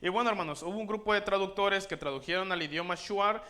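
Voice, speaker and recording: A man's voice: high voice, fast talker, bright, forceful tone. A missionary man making an earnest appeal to a church congregation, calling them brothers in nearly every sentence. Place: church sanctuary.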